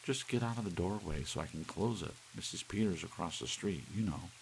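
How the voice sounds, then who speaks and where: A man voicing a coquettish, excitable married woman in an audiobook, gushing and pleading. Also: low-pitched, a little fast, creaky, pitched above the performer's own voice, low-quality recording. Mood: urgent. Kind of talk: audiobook character voice. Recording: steady rain hiss behind.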